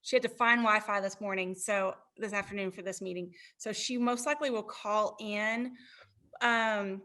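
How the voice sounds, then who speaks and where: A woman's voice: mid-range voice, steady tone, moderate pace, a bit bright. A woman chatting casually in an online meeting, like a staffer helping it along.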